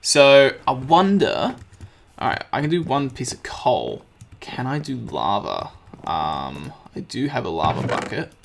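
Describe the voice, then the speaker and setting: A man with a slightly croaky voice, casually commenting on his gameplay and thinking aloud as he crafts. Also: medium pitch, big pitch swings, unhurried, noisy background. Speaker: let's play host.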